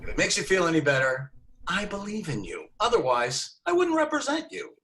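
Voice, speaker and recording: A man acting a busy male Hollywood talent agent in a screenplay table read, brisk and offhand. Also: high-pitched, huge pitch swings, fairly bright tone, full character voice. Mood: placating. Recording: noisy remote online recording with clicks.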